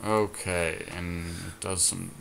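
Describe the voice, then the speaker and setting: A man talking casually through a card game, reasoning and counting aloud in a deliberate way. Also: deep voice, steady tone, creaky, a bit bright, slight background noise. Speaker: podcast co-host and card game player.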